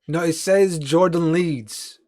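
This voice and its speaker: A man's voice: a little high, slow pace, darkish tone, slight background noise. A man from Turkey with a Turkish accent, a native Turkish speaker co-hosting a podcast in casual chat. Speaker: podcast co-host.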